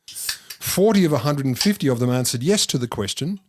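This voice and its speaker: A man's voice: mid-range voice, lively intonation, normal speed, darkish tone, slight background noise. A man co-hosting a casual comedic science podcast, reading out study findings with wry jokes and asides. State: married. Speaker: podcast co-host presenting a science topic.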